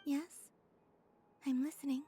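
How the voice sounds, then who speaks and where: Game role: wistful young moon maiden. Soft, whispery, slightly high, measured delivery. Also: female voice, little pitch movement, slight background noise. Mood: tender farewell. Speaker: game character voice actor. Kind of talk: scripted dramatic game dialogue. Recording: music behind the voice.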